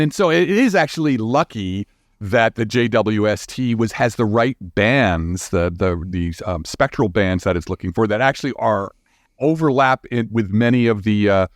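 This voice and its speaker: A man's voice: on the low side, no echo, studio quality. A man explaining science as an interview guest in an informal, enthusiastic, conversational way.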